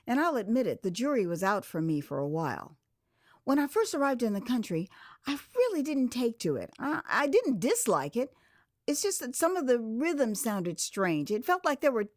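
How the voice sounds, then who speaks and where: An American woman narrating her own memoir as an audio drama, in an expressive, theatrical way with pauses. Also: sits high, dry recording, clean studio sound. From Texas, United States. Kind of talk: dramatized first-person audio memoir storytelling. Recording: music behind the voice. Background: music.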